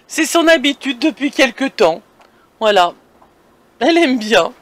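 A woman's voice: high-pitched, big pitch swings, measured pace. A woman casually narrating a vlog from a camper van, chatty and speaking directly to viewers. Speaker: vlogger. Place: inside a moving or parked camper van. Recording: vehicle engine and road noise.

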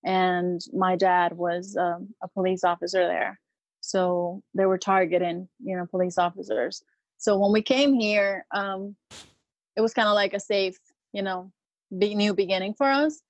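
A woman's voice: medium pitch, steady tone, unhurried, dry recording. A woman in her thirties from Peru, a Marine veteran guest talking casually with lots of hesitant ums and restarts. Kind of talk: casual interview conversation.

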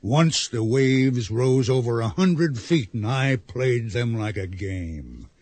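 An actor playing an expansive, boastful sailor spinning tall tales theatrically in a radio drama. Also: male voice, mid-range voice, animated, takes it slowly, a little mellow, dry recording. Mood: jovial, boastful.

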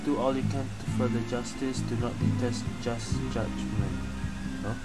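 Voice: medium pitch, steady tone, normal speed, low-quality recording. A gaming streamer giving casual commentary on gameplay in short asides. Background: loud game music.

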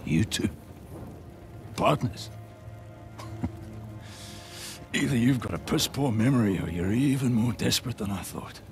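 Smug game villain, lowish voice, slow mocking drawl that swings around, music under it. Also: male voice, expressive, gravelly, darkish tone, heavily acted. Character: smug, taunting male arms dealer villain, wounded. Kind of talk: video game cutscene acting.

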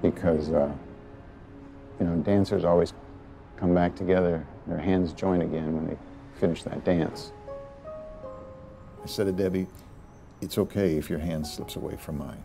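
A man in his forties playing a grieving widower on a city rooftop, talking haltingly with many pauses. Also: low voice, fairly even intonation, gravelly, faint music behind. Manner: hesitant, halting, soft-spoken with many pauses. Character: grieving middle-aged widower, gentle and soft-spoken. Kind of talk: film drama scene with outtakes.

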